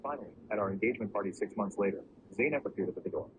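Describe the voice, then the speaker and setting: A man narrating a first-person story in a steady, even storytelling delivery. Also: lowish voice, very fast. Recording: muffled lo-fi recording with clear background noise.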